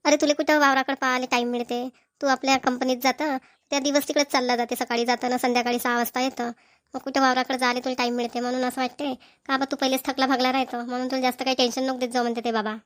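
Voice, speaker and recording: A woman's voice: high voice, level tone, fast, lightly acted. A woman voicing affectionate village women in a family cartoon, chatty and homely, with a rural Maharashtrian accent. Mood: fond, chatty. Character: affectionate village women, doting grandmother and dutiful daughter-in-law. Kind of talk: Marathi family cartoon voice acting.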